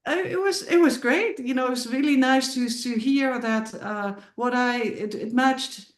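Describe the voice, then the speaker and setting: Middle-aged dutch lady, stop-start talk, lots of repeats, kinda echoey room. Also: high voice. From Netherlands. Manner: halting, many restarts and repeated words. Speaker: filmmaker and musician guest. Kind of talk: casual podcast interview. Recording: roomy sounding.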